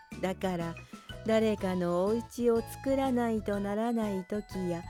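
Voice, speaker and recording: Mellow, unhurried woman reading a storybook. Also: medium pitch. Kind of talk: gentle children's picture-book read-aloud narration. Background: background music.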